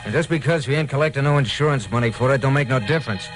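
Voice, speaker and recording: A man's voice: medium pitch, racing, lightly acted. A man with an American accent playing a menacing, impatient racketeer who barks slangy orders in an old radio crime drama. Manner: barking, slangy, ungrammatical threats. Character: menacing, bossy male racketeer, impatient tough guy. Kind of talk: 1940s-50s radio gangster acting. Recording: old radio recording, faint music.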